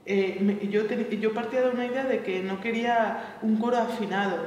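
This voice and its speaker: A woman's voice: fairly high pitch, steady tone, fairly quick, darkish tone, muffled recording, a little hiss or hum. A woman, leading a seminar and thinking aloud, hesitant, with frequent tag questions. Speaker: choir workshop leader. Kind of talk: reflective seminar talk. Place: large bright seminar room. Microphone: far camera mic. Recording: echoey room from far off.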